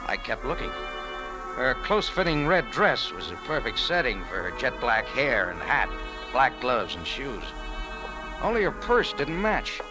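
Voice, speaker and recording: A tough American saloon keeper from 1940s radio drama. His medium-pitched voice moves in lively swings, wry and brusque, like a man who has seen every kind of trouble. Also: a little slow, over music, low-quality recording. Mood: wry narrating. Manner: wry, hard-boiled, brusque. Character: tough, wry American cafe owner in Cairo. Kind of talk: 1940s radio acting with first-person narration.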